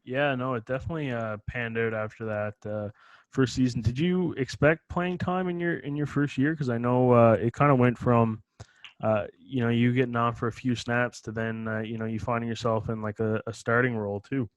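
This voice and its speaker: A man's voice: mid-range voice, steady tone, moderate pace. A man hosting a podcast, asking loose, rambling questions with many "uh" fillers. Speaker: podcast host. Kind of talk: casual podcast interview.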